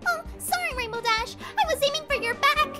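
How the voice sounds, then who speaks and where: A woman's voice: very high-pitched, a little fast, music in the background. A woman voicing a hyper, bubbly young female party pony in a fan-made cartoon radio play, with bouncy, scatterbrained chatter. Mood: flustered.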